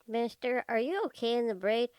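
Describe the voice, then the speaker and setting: A woman's voice: on the high side, normal speed, a little mellow, clean tone, dry recording, clean studio sound, close to an everyday voice. A woman playing a plucky, forthright young schoolgirl in an old-time radio drama. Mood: curious, forthright.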